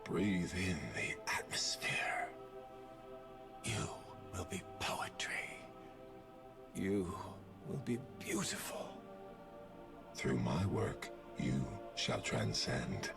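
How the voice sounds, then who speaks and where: A man voicing a grandiose, theatrical serial killer who speaks slowly and poetically in a deep, whispery voice, as video game voice lines. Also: big pitch swings, gravelly, full character voice. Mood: menacing, grandiose. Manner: slow, poetic, dramatic delivery. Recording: music playing behind the voice.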